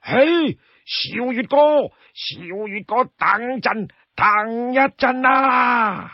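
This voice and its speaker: A man voicing a brash, burly outlaw in Cantonese, out of breath and calling out loudly in a whining complaint. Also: extremely high pitch, drawn-out words, no room sound, muffled recording, pitched above the performer's own voice, heavily acted. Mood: urgent shouting. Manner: loud, whining calls. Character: brash, panting burly outlaw man, hot-headed comrade.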